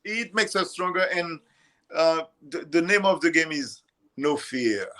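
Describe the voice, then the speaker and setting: Middle-aged male guest, earnest and encouraging, voice a touch high. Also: lively intonation, unhurried, bright, forceful tone. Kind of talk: casual podcast chat.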